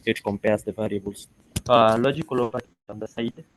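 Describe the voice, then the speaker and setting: A man answering a question over an online call, with a lowish, fairly flat voice. He hesitates often and fills gaps with "uh". There is faint noise on the line. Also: fast. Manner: hesitant, many fillers. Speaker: student on a call. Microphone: online call mic.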